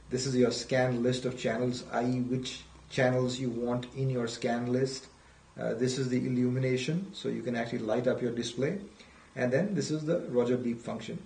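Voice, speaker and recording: A man with a mid-pitched voice walks through a product demonstration in a methodical, explanatory way. He speaks off the cuff as a company presenter. The recording is roomy and echoey, with him some distance from the microphone. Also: darkish tone.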